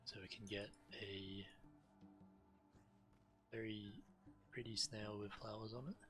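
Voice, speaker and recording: A man's voice: on the low side, fairly even intonation, slow, a bit bright. A man giving understated, matter-of-fact commentary on a video game and reading quest text aloud. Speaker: game streamer. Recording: game music faintly behind. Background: video game music.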